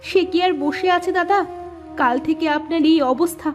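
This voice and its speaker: A woman's voice: extremely high pitch, moderate pace. A woman voicing a respectful, concerned young village wife in a cartoon drama. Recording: music behind the voice.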